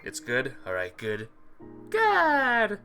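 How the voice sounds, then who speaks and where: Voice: mid-range voice, huge pitch swings, very slow, pushed and bright, soft background music. A streamer reading a visual novel aloud expressively, with casual asides to viewers. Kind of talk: game playthrough narration with chat asides.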